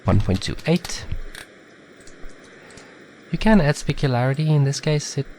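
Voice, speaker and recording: A man with a slightly nasal, stuffed-up voice from a head cold, giving a relaxed, chatty step-by-step software tutorial. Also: fairly high pitch, a little slow, slight background noise. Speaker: tutorial maker. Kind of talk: casual screen-recorded software tutorial.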